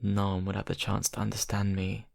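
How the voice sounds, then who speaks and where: A young man acting a shy college vampire who confides haltingly and softly to his best friend in an ASMR roleplay. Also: lowish voice, monotone, vocal fry, no room sound, studio quality. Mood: earnest, resigned. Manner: hesitant, halting, soft-spoken confiding. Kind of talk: ASMR roleplay, intimate improvised monologue.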